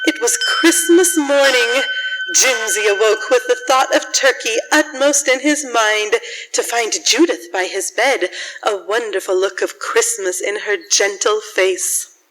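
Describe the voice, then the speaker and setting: A woman narrating a scripted story for a radio drama in a measured storytelling manner. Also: a little high, a bit bright, low-quality recording.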